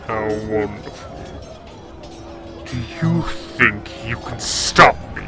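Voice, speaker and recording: Man acting cold tyrant king, contemptuous, menacing, unhurried delivery, fantasy audio drama, music behind. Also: lowish voice. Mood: scornful. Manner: contemptuous, slow, imperious.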